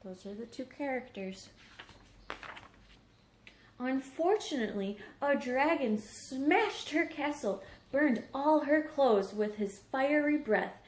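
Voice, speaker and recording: Slow-paced woman reading to young children. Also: high-pitched, expressive, a little hiss or hum. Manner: simple explanations, checks in with young listeners. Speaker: teacher reading to young pupils. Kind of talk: read-aloud storytelling with questions to children. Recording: roomy, echoing home recording.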